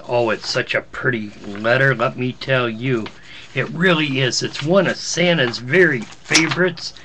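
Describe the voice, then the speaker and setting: A jolly, kindly old Christmas gift-bringer, acted out by a man, speaks in a fairly high male voice. He is jovial and folksy, like a storybook narrator sharing a favorite holiday tale. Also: a bit bright. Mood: fond. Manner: jovial, folksy, chuckling asides. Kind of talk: storytelling, reading a Christmas poem aloud.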